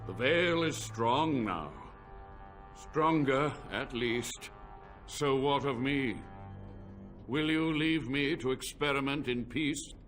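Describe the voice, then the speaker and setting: An actor playing an ancient male mage, a proud and unrepentant scholar, speaking slowly and gravely in a video game cutscene. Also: medium pitch, faint music behind. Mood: urgent. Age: older. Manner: slow, grave, measured delivery. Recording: game audio with steady ambient noise.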